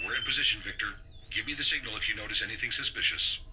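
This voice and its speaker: An actor playing a loyal, steady resistance comrade in a video game, with a reassuring manner. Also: male voice, fairly low pitch, rapid-fire, very noisy, lightly acted. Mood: calm, focused. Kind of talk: video game cutscene acting.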